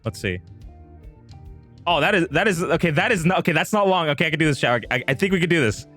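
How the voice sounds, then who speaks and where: A man's voice: a little high, lively intonation, quick pace, a bit bright, faint music behind. A man hosting a live stream with casual chat, rambling and repeating himself as he talks straight to his viewers. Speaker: livestream host.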